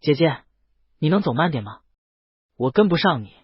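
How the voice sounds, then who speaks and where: A man playing a shy, gentle young dragon in an audio story, speaking in a meek, hesitant way. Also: fairly high pitch, sing-song, normal speed, breathy edge, dry recording, lo-fi sound. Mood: meek, earnest. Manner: hesitant, meek, soft-spoken. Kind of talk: audio story character dialogue.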